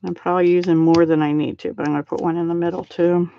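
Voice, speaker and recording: A middle-aged woman hosting a live card-making stream, talking softly and thinking aloud as she works and chats with viewers. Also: fairly low pitch, flat delivery, quick pace. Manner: soft-spoken, thinks aloud while working. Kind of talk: casual live craft demo, chatting with viewers. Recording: dry, slightly dull home stream audio.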